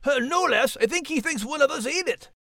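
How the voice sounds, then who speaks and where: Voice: high-pitched, animated, fairly quick, a bit bright, no room sound, studio quality. A performer voicing a blunt, cheeky male Scottie dog with a Scottish accent in a comic podcast skit. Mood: defensive.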